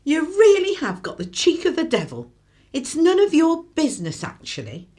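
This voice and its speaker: An actress performs a guarded, easily flustered mother in a radio play. She fusses and apologises. Her voice is fairly high and very animated in pitch. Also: moderate pace. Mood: indignant. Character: flustered, guarded British working mother of young boy. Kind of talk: radio drama acting.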